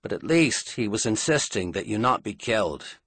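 A man voicing a tender, sympathetic royal husband in an audiobook, speaking gently and ruefully. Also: on the low side, animated, moderate pace, husky, no room sound, studio quality.

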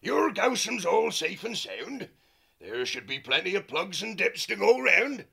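A man voicing a huge, fat, boisterous male otter who bellows in a rough rustic dialect. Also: high voice, sing-song, a little slow, pushed and bright, pitched above the performer's own voice, heavily acted. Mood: hearty. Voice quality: gravelly, hearty bellowing.

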